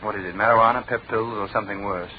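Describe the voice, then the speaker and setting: An actor playing an astute, authoritative English detective chief in a classic radio drama, probing in a clipped, incisive way. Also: male voice, lowish voice, steady tone, fast, slight background noise, natural voice. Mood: stern. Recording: tinny band-limited old radio recording.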